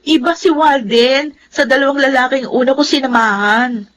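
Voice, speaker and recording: A woman's voice: high voice, moderate pace, fairly bright tone, a little hiss or hum. An actress playing a stubborn, flippant young woman in a Filipino radio drama, with a whiny, dismissive manner. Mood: irritated.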